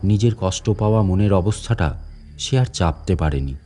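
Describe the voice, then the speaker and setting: A man playing an animated, chatty aging fort guide. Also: sits low, normal speed, slight background noise. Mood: somber, dramatic. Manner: conversational, insinuating, theatrical with rhetorical questions. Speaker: storyteller. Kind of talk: dramatic first-person audio story narration in Bengali storytelling.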